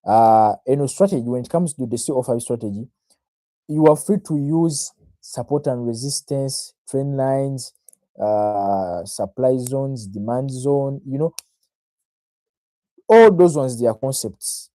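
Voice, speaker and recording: Mellow, dark-voiced Ugandan man livestreaming. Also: medium pitch, unhurried, no room sound. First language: Luganda. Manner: self-assured, addresses viewers directly, repeats phrases, checks in with "right?". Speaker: trader, livestream host. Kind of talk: informal live market analysis, explaining to viewers.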